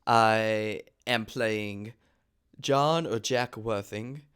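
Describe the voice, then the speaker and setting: A man's voice: on the low side, drawn-out words, fairly bright tone, smooth. A man casually hosting an online table read, chatting and explaining things to viewers. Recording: online group call livestream.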